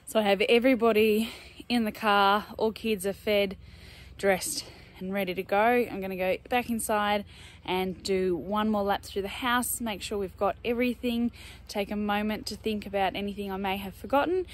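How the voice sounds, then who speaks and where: A woman's voice: medium pitch, fairly even intonation, normal speed, slight background noise. A woman in her thirties with an Australian accent, vlogging casually to a phone camera about her busy farm weekend in a chatty, organised way. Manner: chatty, organised, matter-of-fact. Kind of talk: casual vlog narration to camera. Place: in a car, on the road and outdoors on a farm. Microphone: phone camera held at arm's length. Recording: music bed playing underneath.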